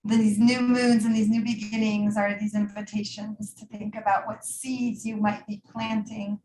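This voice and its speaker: A woman leads a guided meditation in a soothing, unhurried manner. Her mid-pitched voice stays very even, with little rise or fall, and phrases trail off into pauses. The sound is noticeably roomy and echoey. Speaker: yoga nidra meditation teacher.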